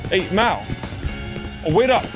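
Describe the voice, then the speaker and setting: A man's voice: high-pitched, very animated, unhurried, music in the background. A man playing a concerned, eager police partner, urgent and questioning, in an audio drama. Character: concerned, eager male police partner calling after colleague.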